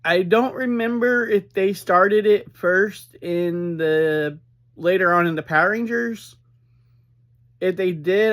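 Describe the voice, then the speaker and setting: A man giving an informal, unscripted recap as a reviewer, speaking in halting, deliberate phrases with frequent breaks. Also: a little high, a bit bright. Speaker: reviewer and host of an episode review series.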